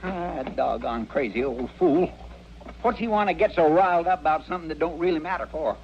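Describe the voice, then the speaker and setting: Man as high-voiced folksy frontier sidekick. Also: close to an everyday voice. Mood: flustered, indignant. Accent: rural American, Western drawl. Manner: folksy, halting, protesting. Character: folksy, earnest, flustered frontier marshal's sidekick. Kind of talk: old-time radio western acting. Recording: muffled vintage radio drama, hissy.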